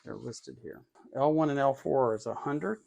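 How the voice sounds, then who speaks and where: A man narrating a hands-on electronics build casually, thinking aloud in an unhurried way and muttering to himself as he works. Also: mid-range voice, vocal fry, a little mellow, slight background noise. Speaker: electronics hobbyist host.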